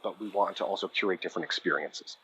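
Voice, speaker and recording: A man's voice: sits low, fast, sharp tone, breathy edge, poor audio. A man speaking as a podcast guest about producing audio dramas, thoughtful and explanatory, with fillers as he thinks. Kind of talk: conversational podcast interview.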